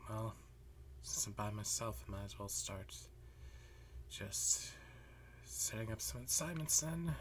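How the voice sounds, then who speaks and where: A man playing a weary, jealous math teacher and best friend in a roleplay monologue, halting and muttering with a breathy voice. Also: fairly low pitch, lively intonation, noisy background. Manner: halting, muttering, frequent sighs. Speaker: audio roleplay performer. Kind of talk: slow roleplay monologue to the listener.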